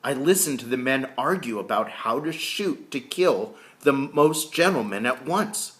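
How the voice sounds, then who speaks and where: A man reading a historical novel aloud as a storyteller, deliberate and explanatory. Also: mid-range voice, animated, fairly bright tone. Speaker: reader reading a book chapter aloud.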